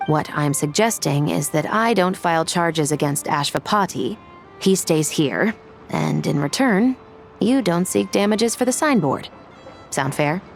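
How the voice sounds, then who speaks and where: An actress playing a composed, fair-minded law officer in a video game, speaking in a measured, formal way. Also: female voice, lowish voice, expressive, vocal fry, soft background music. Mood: businesslike. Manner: measured, formal, matter-of-fact. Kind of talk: video game character acting.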